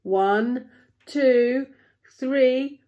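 A plucky, bossy little male sidekick from a storybook, acted out by a woman reader. The voice is lifted high and calls out emphatically, full of excitable urgency. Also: fairly quick, lo-fi sound, full character voice. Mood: urgent. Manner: shouting orders, emphatic.

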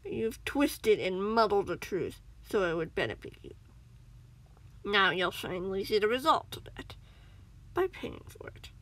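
A woman voicing a cold, menacing man in a story, with slow, sneering, whispery delivery. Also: mid-range voice, big pitch swings, a bit bright, exaggerated voice. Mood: accusing.

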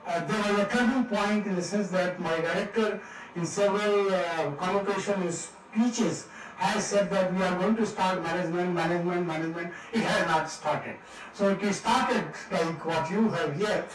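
An older man from India with an Indian English accent, giving a jovial guest lecture with Hindi asides and self-deprecating jokes. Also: sits high. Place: large seminar hall. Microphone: room mic from afar. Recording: echoey hall, distant mic.

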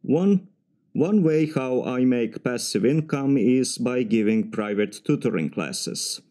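A man with a Serbian accent giving formal, measured practice answers for a timed speaking exam. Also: mid-range voice, animated, warm tone. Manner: formal, measured, slightly stiff phrasing. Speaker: mechanical engineer practicing test answers.

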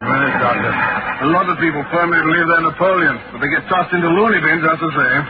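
An actor playing a skeptical, impatient ship's officer, brusque and incredulous. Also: male voice, fairly high pitch, quick pace, close to an everyday voice. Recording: lo-fi old radio broadcast with loud hiss.

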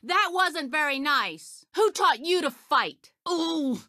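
A plucky young heroine of a video game, a warrior with a good heart. Her voice sits fairly high and swings widely in pitch as she delivers short, earnest lines. The sound is dry and close, with no room on it. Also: slow, no room sound, full character voice. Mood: indignant, resolute. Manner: earnest, expressive, clearly enunciated short lines. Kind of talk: video game character voice clips.

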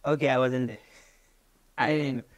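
A man's voice: medium pitch, steady tone, normal speed. A Ghanaian man in his twenties with a Ghanaian accent, chatting casually as a studio guest in mixed Twi and English. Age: 20s. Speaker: interview guest, musician. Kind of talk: casual chat, switching between Twi and English. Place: small studio office, indoors.

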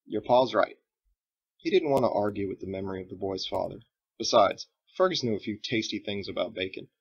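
A man narrates a story like an audiobook, in a low voice with a gravelly creak. The delivery is scripted and expressive, and the recording is slightly dull. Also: fast. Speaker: author reading his own book.

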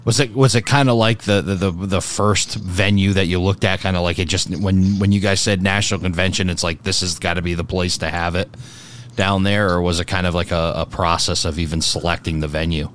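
A man's voice: low voice, flat delivery, brisk, a bit bright, a little hiss or hum. A man hosting a podcast, asking questions in a casual interview style.

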